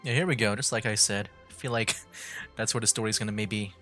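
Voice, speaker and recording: A man's voice: on the low side, fairly even intonation, fairly quick, a bit bright, soft background music. A man streaming a game, making laid-back, joking comments and reading lines aloud. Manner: laid-back, chuckling asides. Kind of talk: casual let's-play commentary.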